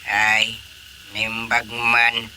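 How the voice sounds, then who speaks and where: A man's voice: medium pitch, completely flat delivery, extremely slow, bright, forceful tone, slight background noise, heavily acted. A man with an Ilocano accent playing a jovial, playful village husband in a radio drama. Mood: easygoing.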